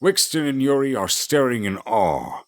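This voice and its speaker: A man's voice: medium pitch, big pitch swings, normal speed, noisy background. A man narrating a fantasy audio drama in a theatrical, scripted storytelling style.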